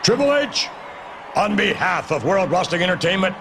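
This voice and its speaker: A domineering wrestling company boss makes grand announcements in a video game. His pitch runs fairly high and swings widely, with theatrical emphasis. The recording has clear noise behind it. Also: very animated, normal speed. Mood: grand, emphatic. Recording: noisy game capture with background ambience.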